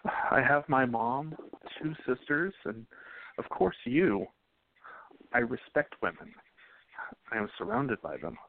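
Gentle 1920s sweetheart on a tinny line, acted by a man. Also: medium pitch, lively intonation, takes it slowly, pauses often. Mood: respectful. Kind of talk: radio play acting. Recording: tinny phone-like sound.

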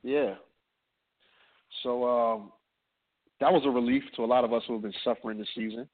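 A man in his late thirties, a podcast co-host from New Jersey, chatting in a relaxed, joking way. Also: medium pitch. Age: 30s. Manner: laid-back, joking, drawn-out storytelling. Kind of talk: casual chat. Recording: tinny phone-like audio.

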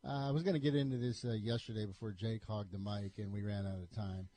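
A man's voice: fairly low pitch, steady tone, quick pace, mellow. A man hosting a sports talk radio show, telling stories in a casual, conversational way. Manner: conversational, storytelling.

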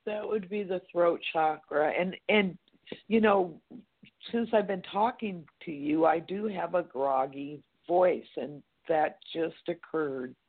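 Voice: medium pitch, takes it slowly. A caller on a phone-in show, talking in a rambling way with many fillers and restarts. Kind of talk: phone-in conversation. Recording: tinny phone line.

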